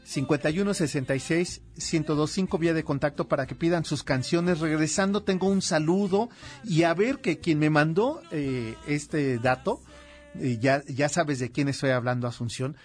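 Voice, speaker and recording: A man's voice: a little high, normal speed, soft background music. A man with a Mexican accent hosting a casual radio show, hesitant and searching for words as he talks.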